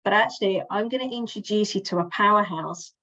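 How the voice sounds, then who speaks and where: A woman's voice: medium pitch, a little fast, bright, forceful tone, lo-fi sound. A woman from the UK, a relationship coach hosting an online session, giving an encouraging introduction with small restarts. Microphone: video call.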